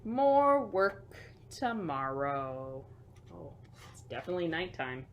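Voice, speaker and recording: A woman hosting a children's storytime, reading picture books aloud with emphatic delivery and hushed asides. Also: deep voice, lively intonation, takes it slowly, highs cut off, slight background noise. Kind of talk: picture book read-aloud for young children.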